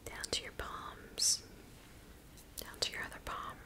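A woman speaks in a soft, breathy whisper throughout, leading a reiki session for ASMR. Her delivery is slow and soothing as she guides the listener through each step. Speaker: reiki practitioner.

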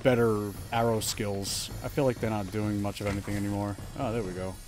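A man's voice: on the low side, steady tone, a little fast, over music, low-quality recording. A man in his thirties streaming a game from home, chatting casually and joking about his own play. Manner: chatty, self-mocking, restarts often. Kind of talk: casual live gameplay commentary.

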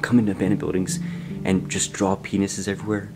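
A young man hosting a casual exploring vlog, chatty and excitable as he thinks aloud inside an abandoned building. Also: low voice, fast, vocal fry, music in the background, low-quality recording. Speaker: urban explorer host. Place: abandoned newspaper building indoors.

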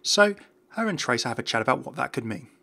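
A man narrating game lore from a script as a video host. His voice is mid-pitched and bright, with a gravelly creak. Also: sing-song, quick pace.

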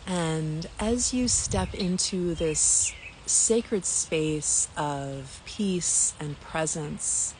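A woman leading a spiritual guided meditation in a gentle, soothing manner, with long pauses between phrases. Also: deep voice. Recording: clear environmental noise, birdsong.

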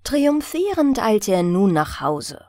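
A woman narrating a fairy tale as a bedtime audiobook, in an expressive, unhurried storytelling manner. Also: medium pitch, dry recording, clean studio sound.

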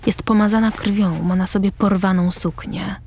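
A woman reading scripted stage directions as the narrator of a drama, with a husky voice. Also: medium pitch, fairly even intonation, moderate pace, a little mellow, a little hiss or hum.

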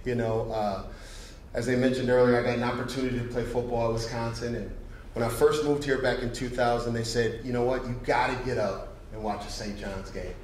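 Middle-aged male award presenter, folksy and conversational, mid-pitched, heard from a distance in a heavily reverberant hall. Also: fairly even intonation, fairly quick, darkish tone. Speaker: guest presenter, company manager. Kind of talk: award presentation speech to an audience. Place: stage in an auditorium. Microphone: podium microphone. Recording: very echoey hall, distant, faint noise.

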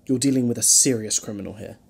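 Husky voice, male actor as alien time traveller, wry banter, defiant, protective, audio drama. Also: mid-range voice, fast, a little mellow. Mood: stern, defiant. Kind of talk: fan audio drama acting.

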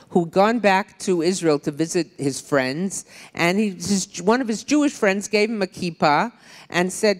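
Older woman historian in a reverberant hall. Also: lowish voice. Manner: chatty, digressive, wry asides. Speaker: author and historian on a panel. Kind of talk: public panel discussion. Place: large lecture hall on stage. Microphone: handheld microphone.

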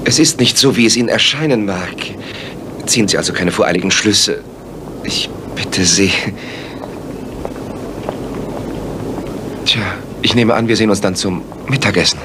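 An actor playing an earnest, polite gentleman sidekick who also narrates in an audio drama. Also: lowish voice, a little fast, background noise, lightly acted. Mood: awkward, evasive.

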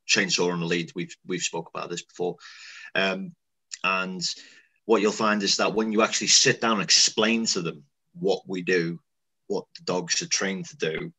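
Liverpool man, young, very low gravelly voice, matter-of-fact casual talk on a webcam call. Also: bright, forceful tone. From Liverpool, England. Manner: conversational, matter-of-fact, loose with grammar. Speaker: security dog handler guest. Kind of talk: casual video-call interview. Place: small room indoors. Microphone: webcam on video call.

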